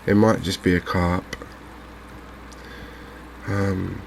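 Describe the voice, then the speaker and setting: A man giving calm, understated, softly spoken ASMR commentary on a video game, in an unhurried way. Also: sits low, creaky, a little hiss or hum. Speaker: gaming video host.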